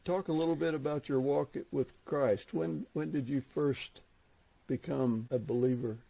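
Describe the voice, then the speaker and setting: A man's voice: mid-range voice, steady tone, measured pace, creaky, dark tone. A man hosting a podcast, asking questions in a conversational interview style. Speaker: podcast host.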